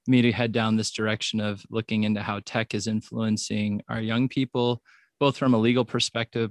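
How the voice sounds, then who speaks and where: A man with a low, level voice speaks as a podcast guest, relaxed and a little hesitant, pausing on fillers. His voice is dry and close to the mic. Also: no room sound. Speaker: guest, educator. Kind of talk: interview conversation.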